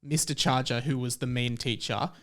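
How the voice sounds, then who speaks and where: A man's voice: medium pitch, steady tone, normal speed. A man hosting a comedy podcast in a casual, chatty style. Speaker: podcast host.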